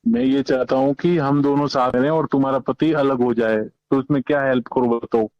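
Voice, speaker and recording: A man speaking casually and haltingly on a private phone call. His voice sits in an ordinary middle pitch. The call is muffled and dry, with no room sound. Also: fairly even intonation, dry recording. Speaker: caller in leaked phone recording. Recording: dull lo-fi phone audio.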